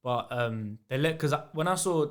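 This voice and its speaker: A young man with a London accent, a podcast guest telling stories in a relaxed, rambling way full of slang. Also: medium pitch, normal speed. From England. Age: young adult. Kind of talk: casual podcast chat.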